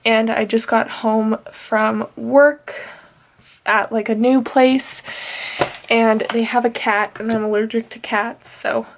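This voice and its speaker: Chatty young woman, nasal and gravelly. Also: a little high, steady tone, slow pace, a little hiss or hum. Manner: chatty, rambling with fillers. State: nasal from allergies. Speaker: planner vlogger. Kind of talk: casual plan-with-me chat.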